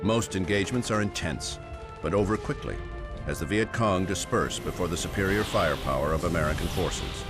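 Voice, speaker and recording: A man playing a grave, formal war documentary narrator in a game, speaking in measured scripted lines. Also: low voice. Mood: solemn, measured. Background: music.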